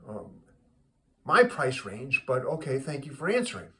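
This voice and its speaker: A man voicing a curious, puzzled traveling photographer in a spoken joke, asking questions haltingly. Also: medium pitch, very animated, fairly bright tone.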